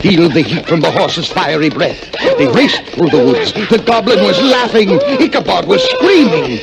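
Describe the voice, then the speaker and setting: A man narrating a spooky children's story in a theatrical, excitable, suspenseful way. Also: a little high. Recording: old recording with music underneath. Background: loud music.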